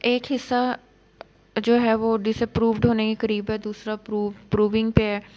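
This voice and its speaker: A woman lecturer explaining law for exam students, reading out legal definitions slowly and then explaining them in plain terms. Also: on the high side, monotone, a little mellow, rough recording.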